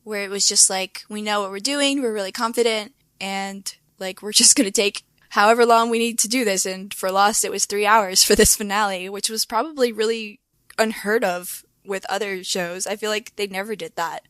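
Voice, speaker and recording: A woman co-hosting a podcast in casual chat, with a hoarse voice and lots of filler words. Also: medium pitch, steady tone, moderate pace, a bit bright, no echo. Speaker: podcast co-host.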